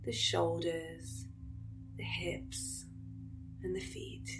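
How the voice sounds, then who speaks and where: Slow, soothing woman guiding meditation. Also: lowish voice, little pitch movement, whispered, over music, low-quality recording. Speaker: yoga nidra meditation guide. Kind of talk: guided relaxation meditation.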